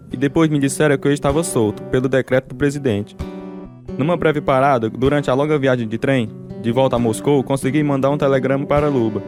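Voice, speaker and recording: An actor with a Brazilian accent playing a devout, steadfast prisoner who tells his story in measured, earnest radio drama style. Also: mid-range voice, natural voice. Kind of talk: dramatized first-person narration, radio drama.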